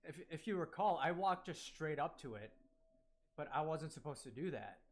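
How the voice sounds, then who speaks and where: A man's voice: fairly high pitch, expressive, normal speed. Rambling young man streaming a game. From United States. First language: Korean. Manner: rambling, many fillers and self-corrections. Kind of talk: casual live-stream chat while playing.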